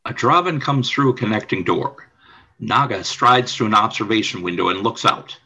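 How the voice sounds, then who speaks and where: A man's voice: fairly low pitch, normal speed, bright, forceful tone, breathy edge, low-quality recording. A man reading scripted scene narration for a radio play.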